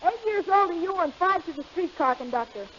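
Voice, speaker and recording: A woman's voice: very high-pitched, animated, fairly quick. A woman playing a cheeky, wisecracking eight-year-old boy in an old radio comedy. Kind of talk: 1930s radio comedy acting. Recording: muffled, noisy vintage radio recording.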